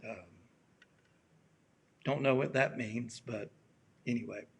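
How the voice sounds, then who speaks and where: Slow, raspy-voiced man preaching gently. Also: mid-range voice, fairly even intonation. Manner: gentle, halting, with many pauses and fillers. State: allergies acting up. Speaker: pastor. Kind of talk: slow reflective sermon.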